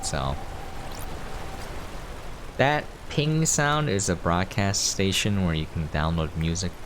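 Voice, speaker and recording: Deep-voiced man giving casual game commentary. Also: lively intonation, slow, a bit bright, a little hiss or hum. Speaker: game preview host.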